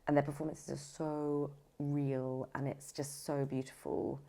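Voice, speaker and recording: A woman with a low voice and plenty of vocal fry talks in a casual interview style. She speaks emphatically and often circles back to rephrase her point. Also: little pitch movement, unhurried, dark tone. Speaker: voice actor, audiobook narrator, podcast guest.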